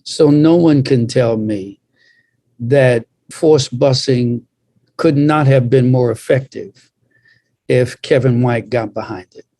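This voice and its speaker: An older man, a pastor, telling a reflective story on a panel with deliberate, emphatic phrasing. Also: mid-range voice, warm tone, dry recording.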